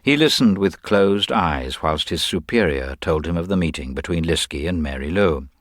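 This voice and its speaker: A man reading a detective story as scripted literary narration, measured, formal and precise. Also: deep voice, lively intonation, no room sound, studio quality.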